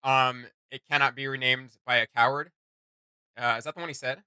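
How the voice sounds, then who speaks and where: A man's voice: medium pitch, fairly even intonation, a little fast, pushed and bright, clear, resonant tone, no echo. A man co-hosting a reaction video, commenting casually in an informal, slangy, joking way.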